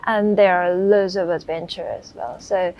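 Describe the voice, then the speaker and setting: A woman speaking as an interview guest, explaining her work in simple, halting phrases. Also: fairly low pitch, steady tone, a little mellow, lo-fi sound. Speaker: concept artist, interview guest. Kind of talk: unscripted explanation.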